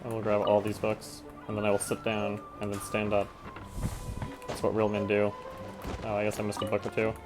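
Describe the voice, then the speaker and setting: Guy streaming a game, lowish voice, wry casual rambling, game music clearly behind. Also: completely flat delivery, brisk. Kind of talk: casual live play commentary.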